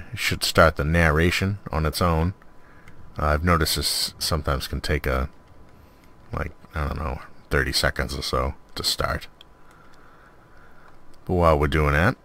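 Very low male voice, laid-back, conversational, casual game commentary, host. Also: pushed and bright. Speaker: host of a game mod showcase.

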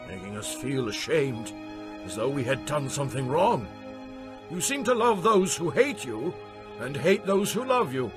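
A man's voice: fairly high pitch, voice pushed up. A man playing a stern, commanding army general in a dramatized scripture audiobook, blunt, demanding and scornful. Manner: forceful, demanding.

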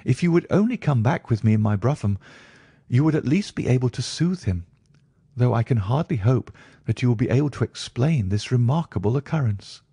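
A man's voice: medium pitch, animated, brisk, mellow, husky, no echo, voice pushed up. A man voicing a proper, troubled Victorian doctor, formal and precise, in an audiobook. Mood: concerned. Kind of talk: audiobook character acting.

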